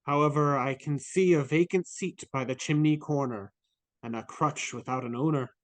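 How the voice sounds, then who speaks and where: A man's voice: a little high, normal speed, dry recording, close to an everyday voice. A man voicing a solemn, stern Christmas spirit in a live dramatic reading.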